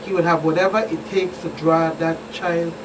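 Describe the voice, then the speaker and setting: A woman's voice: fairly low pitch, little pitch movement, moderate pace. A middle-aged woman with a Virgin Islands Caribbean accent, explaining things as a police expert guest in a talk show interview. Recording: distant mic, clearly noisy background.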